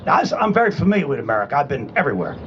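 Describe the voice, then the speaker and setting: A man's voice: on the high side, very animated, rapid-fire, lo-fi sound, slight background noise. A man in his sixties with a New England accent, a retired Army man giving a conversational, emphatic speech at a public rally. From Rhode Island and Connecticut, US. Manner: conversational and emphatic, checks in with "okay?". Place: public rally at a state capitol.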